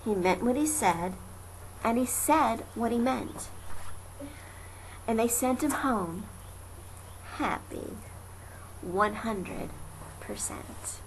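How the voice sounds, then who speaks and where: A woman reading children's verse aloud as an amateur storyteller, dramatic and deliberate in her delivery. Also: a little high, lively intonation, low-quality recording. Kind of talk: expressive children's verse narration. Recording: faint noise, amateur home recording. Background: faint ambient noise.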